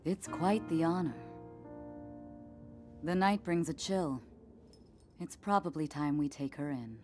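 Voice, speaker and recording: Deep-voiced, slow, commanding warrior chief in a game, soft music under her. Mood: earnest. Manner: low, measured and commanding. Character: proud adult Gerudo chief woman, warm mentor. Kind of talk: video game cutscene acting.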